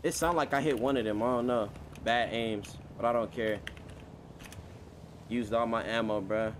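A man's voice: mid-range voice, fairly even intonation, normal speed, a little hiss or hum. A man in casual, slang-heavy game roleplay chat, swearing freely in brash street talk. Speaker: game streamer playing a gang member in roleplay.

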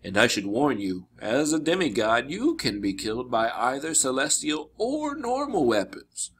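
A man voicing a wise older mentor in a storybook, grave and kindly, speaking in a measured, solemn way. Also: medium pitch, sing-song, a bit bright, a little hiss or hum. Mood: solemn, firm.

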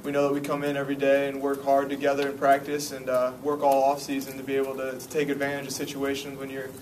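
A young senior basketball player gives measured postgame answers in an almost monotone, mid-pitched voice, with frequent "uh" fillers. He is heard from a distance over background noise. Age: young adult. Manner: steady, measured team talk with "uh" fillers. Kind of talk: postgame press conference answers. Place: press conference room. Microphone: table mic, picked up from a distance. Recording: distant mic, noisy room.

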